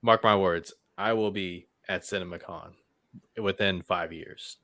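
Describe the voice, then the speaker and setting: A man's voice: low voice, steady tone, measured pace, bright, forceful tone, no room sound, studio quality. A man on a movie panel talking casually, with an informal, emphatic way of speaking. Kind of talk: casual chat.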